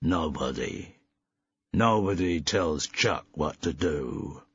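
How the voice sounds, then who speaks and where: A man doing a slow hulking tough guy with an American twang. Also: very deep voice, expressive, creaky, a bit bright, husky, dry recording, highs cut off, heavily acted. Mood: flat menace. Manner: slight American twang, borrowed from action movies; staccato, rehearsed, unspontaneous.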